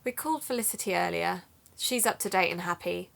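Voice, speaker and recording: A woman playing an amateur detective in an audio drama, speaking in a measured, matter-of-fact, conversational way. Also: fairly low pitch, creaky, fairly bright tone.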